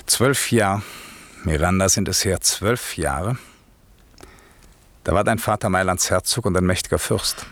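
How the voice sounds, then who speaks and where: A grave, commanding magician and father in a classic radio drama. He declaims solemnly in a deep voice, recorded close and very dry. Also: unhurried, long pauses, fairly bright tone, no echo. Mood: grave, bitter. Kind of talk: classic German radio play acting.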